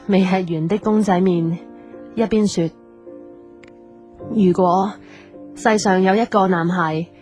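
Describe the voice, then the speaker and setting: An actress as a young Hong Kong Cantonese woman, unhurried audio drama delivery, music behind. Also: medium pitch, a little mellow, natural voice.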